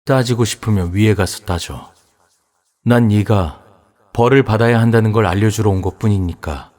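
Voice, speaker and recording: A man's voice: on the low side, moderate pace, a little mellow, close to an everyday voice. A man voicing a mocking mouth that grows on a man's chest, speaking in a taunting, rising and falling singsong in a horror audiobook.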